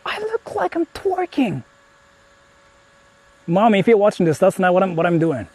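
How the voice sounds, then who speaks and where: A young man talks to his live-stream audience through a phone mic as he wanders outdoors. His voice is slightly husky and bounces up and down in pitch, and his casual chatter is full of fillers and swearing. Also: huge pitch swings, moderate pace, darkish tone, no echo. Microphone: phone held while streaming.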